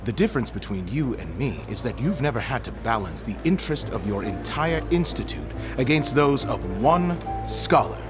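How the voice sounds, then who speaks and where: A man's voice: medium pitch, sing-song, moderate pace, few pauses, over music, close to an everyday voice. Man playing haughty snob, wildly swooping intonation. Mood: stern, disdainful. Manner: pompous, formal, disdainful. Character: haughty, snobbish male master and academic rival. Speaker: actor in an audio drama. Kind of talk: gothic period audio drama acting.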